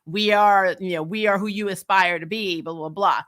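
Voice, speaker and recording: Casting: female recap host, bright forceful voice, candid and sassy, informal fan chat with emphatic opinions. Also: medium pitch, steady tone, moderate pace. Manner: candid, sassy, emphatic, colloquial with casual swearing. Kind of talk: casual fan commentary and chat.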